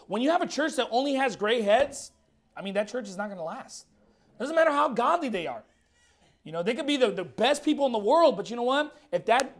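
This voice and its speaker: A man in his thirties preaching a fiery, blunt sermon in a church while losing his voice. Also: extremely high pitch. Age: 30s. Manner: emphatic, blunt, repeats words. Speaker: pastor.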